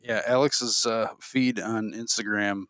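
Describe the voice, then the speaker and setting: A man's voice: on the low side, fairly even intonation, unhurried, a bit bright, dry recording. A man taking part in a podcast, making casual conversational remarks. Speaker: podcast participant. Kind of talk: casual chat.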